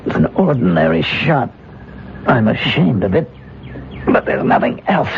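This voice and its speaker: An earnest, wondering hunter, lively delivery. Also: male voice, on the high side, unhurried, gravelly, heavily acted. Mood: proud, eager. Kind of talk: old-time radio drama acting. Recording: muffled, faintly noisy old radio recording.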